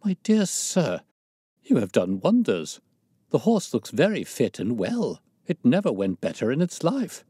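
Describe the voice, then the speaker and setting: A man voicing an irascible, blustering older gentleman colonel in an audiobook, indignant and emphatic. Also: mid-range voice, wildly swooping pitch, measured pace, husky, no echo, clean studio sound, pitched above the performer's own voice, heavily acted. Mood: effusive. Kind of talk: audiobook character dialogue.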